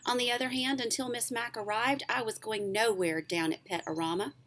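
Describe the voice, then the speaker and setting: A woman, a teacher reading a children's book aloud in an expressive, animated storytelling way. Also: on the high side, brisk.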